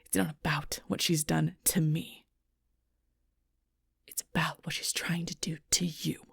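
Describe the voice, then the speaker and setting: Husky, gravelly female werewolf, acted by a woman. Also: sits low, level tone, a little slow, no room sound, clean studio sound, exaggerated voice. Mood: bitter, irritated. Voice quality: breathy, husky, rough-edged. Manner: intense, confrontational, swearing, often dropping to near-whisper. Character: fierce, protective female alpha werewolf, bitter and wounded. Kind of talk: audio roleplay acting addressed to the listener.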